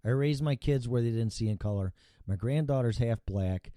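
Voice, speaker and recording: A man's voice: medium pitch, moderate pace, dark tone, no echo, clean studio sound. A middle-aged or older man chats casually as a talk show host, blunt and profane, hammering points by repeating phrases. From Chicago area, United States. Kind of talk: casual opinionated chat.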